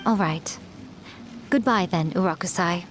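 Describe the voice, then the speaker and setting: A woman's voice: lowish voice, a little slow, slight background noise, lightly acted. A woman voicing a sly, self-assured fox-spirit shrine maiden in a video game, poised, smug and teasing. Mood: assured, cryptic.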